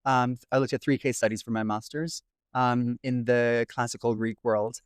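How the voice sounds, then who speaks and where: A man's voice: medium pitch, flat delivery, moderate pace, clear, resonant tone, no echo, clean studio sound. A young man with an American accent who grew up in Italy, answering interview questions as a classics researcher, fluent with frequent ums. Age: young adult. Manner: talks fluently with frequent ums and sort ofs. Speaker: guest, doctoral researcher in classics.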